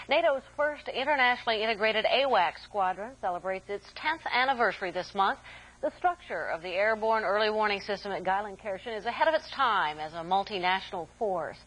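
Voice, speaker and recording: An American woman working as a news anchor, reading scripted news in a formal, measured announcer delivery. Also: medium pitch, a bit bright, rough recording. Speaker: military network news anchor.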